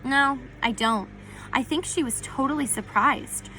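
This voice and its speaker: A woman's voice: sits high, measured pace, pushed and bright, a little hiss or hum, voice pushed up, poor audio. A woman voicing a spirited, wry teenage girl in a storybook reading. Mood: earnest.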